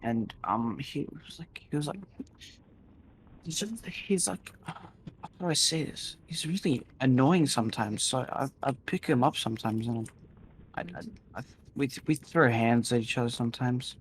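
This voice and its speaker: A man telling improvised stories casually, rambling and halting, often repeating his phrases. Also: medium pitch, slight background noise. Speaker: storyteller in a gaming video. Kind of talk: casual improvised storytelling while gaming.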